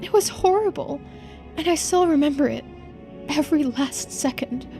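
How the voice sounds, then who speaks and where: A woman's voice: sits high, lively intonation, moderate pace, over music. A woman voicing a girlish, earnest and resigned immortal character in a visual novel. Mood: distressed recollection.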